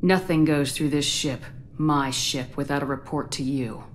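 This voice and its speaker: A woman's voice: low-pitched, normal speed, slight background noise, natural voice. An actress playing a composed, authoritative female military commander in a video game, speaking directly. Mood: stern. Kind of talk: naturalistic video game acting. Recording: video game music underneath.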